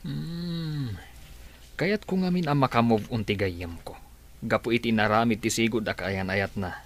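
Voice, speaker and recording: A man playing a calm friend who gives steady advice in a radio drama. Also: medium pitch, a little slow, close to an everyday voice. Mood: advising.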